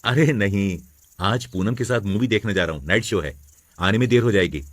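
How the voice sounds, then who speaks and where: A man playing a scheming young husband in a family moral story, smooth-talking and casual. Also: fairly low pitch, moderate pace, no echo, natural voice. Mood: breezy, teasing. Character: scheming young husband feigning romance to provoke wife.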